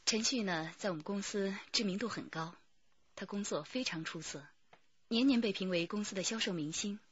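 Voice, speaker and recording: An actress playing a poised, guarded company manager in a radio drama, with a breathy voice and careful delivery. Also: female voice, mid-range voice, moderate pace, no echo, highs cut off, close to an everyday voice. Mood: matter-of-fact.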